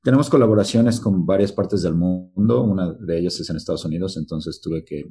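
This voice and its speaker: Low, dark-voiced man, halting. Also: husky. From Mexico. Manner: halting, searching for words. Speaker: researcher guest. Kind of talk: interview answers.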